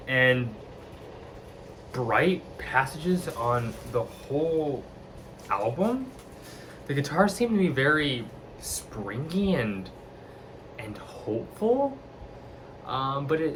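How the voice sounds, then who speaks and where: A man talks through a metal record at an unhurried, slow pace, his voice in an ordinary middle register. He keeps restarting phrases as he hunts for the right word. A faint background noise sits under the recording. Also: mid-range voice, fairly bright tone, rough recording. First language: not Icelandic. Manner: enthusiastic, halting with frequent restarts. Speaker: album reviewer. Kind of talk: spoken music review.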